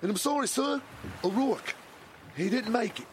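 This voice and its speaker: Very high-voiced, apologetic sailor. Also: male voice, lively intonation, moderate pace, a little hiss or hum. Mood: grave, apologetic. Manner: hesitant, deferential. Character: apologetic, deferential male sailor. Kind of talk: audio drama acting.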